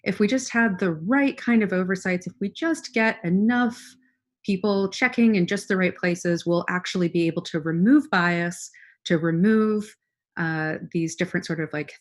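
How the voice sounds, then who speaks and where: A woman hosting an online panel in a conversational, wordy style, thinking aloud as she builds emphatic points and questions. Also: on the low side. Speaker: webinar host and moderator. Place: remote online webinar.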